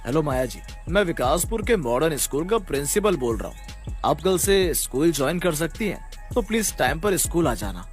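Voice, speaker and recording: A man's voice: a little high, sing-song, normal speed, soft background music. A man voicing a courteous male school principal in a cartoon story, polite and businesslike. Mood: polite, advising. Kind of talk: animated story character acting.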